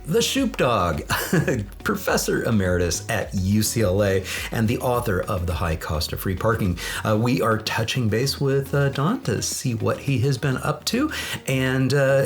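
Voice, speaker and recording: A man from Los Angeles hosts a podcast in an upbeat, friendly way. His voice sits somewhat low. He drops in the odd filler as he talks. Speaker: podcast host. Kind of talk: interview hosting.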